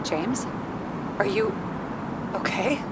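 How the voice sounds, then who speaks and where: A woman's voice: medium pitch, expressive, extremely slow. A woman playing a worried, insistent colleague calling out to a detective in a video game. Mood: anxious. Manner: insistent, alarmed. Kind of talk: dramatic video game acting. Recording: loud noise around the voice.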